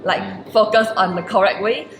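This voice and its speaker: A young woman with a Malaysian English accent and Chinese as her first language, talking things through thoughtfully in a casual interview, her sentences full of filler words. Her voice sits at a middling pitch. The room has a noticeable echo and a faint background hiss. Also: normal speed, fairly bright tone. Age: 20s. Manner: reflective, many "like" fillers. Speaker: university student guest. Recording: roomy echo with faint noise.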